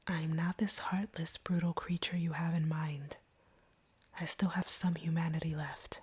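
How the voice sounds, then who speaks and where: A woman plays a female vampire with feelings for the hunter she faces. Her voice is extremely breathy and hushed, sitting a little low. She speaks in a deliberate, earnest whisper, as in an intimate audio roleplay, conflicted beneath her composure. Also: little pitch movement, full character voice. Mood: wounded, resentful.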